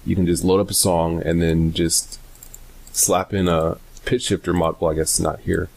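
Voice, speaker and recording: A man presenting a casual, unscripted software tutorial in a hesitant, rambling way, often correcting himself. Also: deep voice, flat delivery, gravelly, slight background noise. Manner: hesitant, halting, self-correcting, rambling delivery.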